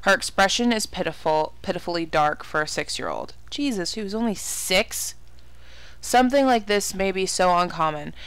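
A woman's voice: on the low side, lively intonation, normal speed, smooth, no room sound. A woman giving casual horror game commentary, exclaiming, swearing and muttering asides to herself. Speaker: let's play gamer.

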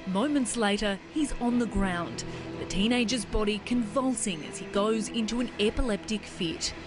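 Woman, news voice-over, mid pitch, music underneath. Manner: dramatic, measured voice-over delivery. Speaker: reporter. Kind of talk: scripted news narration.

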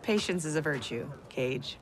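A woman's voice: low-pitched, lively intonation, normal speed, background noise. A woman playing a tough, no-nonsense soldier in a video game, blunt and wry. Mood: curt. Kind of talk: cinematic video game acting. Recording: music behind the voice.

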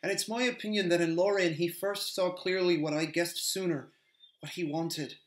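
A man's voice: high voice, steady tone, moderate pace, voice pushed up, natural voice. A man voicing a humble, loyal male hobbit gardener who talks in a rustic, rambling way. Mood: earnest. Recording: roomy echo.

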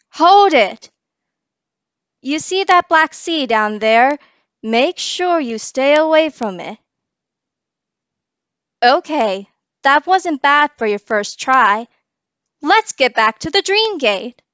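A woman's voice: sits high, very animated, slow pace, long pauses, fairly bright tone, no echo, full character voice. A woman voicing a playful, coaxing dream jester spirit in a fan audition.

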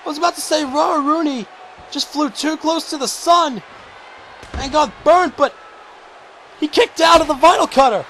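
A man calling a video game wrestling match play-by-play, shouting excitedly and going hoarse. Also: moderate pace, pauses often, a little hiss or hum. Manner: shouting, high-pitched and strained, losing his voice. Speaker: commentator.

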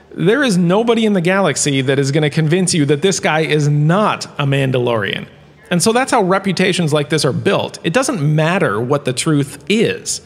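Guy doing a pompous sci-fi professor lecturing, highish voice all over the place, big hall reverb. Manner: dramatic, showy, pompous. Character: vain, theatrical male professor lecturing on galactic history. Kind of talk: scripted comic lecture.

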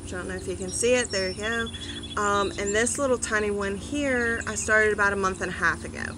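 A woman in her thirties giving a casual gardening vlog outdoors on a front porch, chatty, with many ums and animated asides. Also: mid-range voice, brisk, noisy background. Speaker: gardening vlogger. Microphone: phone or camera on a small tripod.

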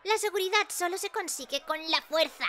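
Casting: chirpy, bossy cartoon dog secretary, Spain accent, extremely high and bright. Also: female voice, brisk. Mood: insistent, upbeat. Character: eager, bossy cartoon dog secretary, female, naively authoritarian. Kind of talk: animated parody fandub acting.

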